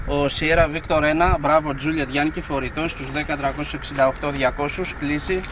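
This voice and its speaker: A man's voice: fairly high pitch, steady tone. A man with a Greek accent working as an amateur radio operator, giving phonetic call-outs and repeating his words. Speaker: amateur radio operator making a field contact. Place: outdoors on a hill. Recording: noisy outdoor recording with vehicle sounds.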